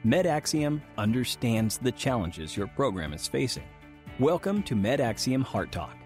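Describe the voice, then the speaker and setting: A man announcing a podcast opening in a polished promotional voice-over style. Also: medium pitch.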